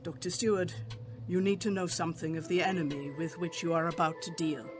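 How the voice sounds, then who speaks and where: An actor playing a grave, commanding professor who hunts vampires in a radio drama, solemn and formal in delivery. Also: male voice, a little high, steady tone, a little fast, music in the background, natural voice. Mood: solemn, urgent.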